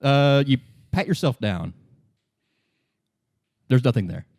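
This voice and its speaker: A man acting as game master, narrating a tabletop game in an informal, halting, improvised way. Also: medium pitch, animated, a little mellow, no room sound, clean studio sound.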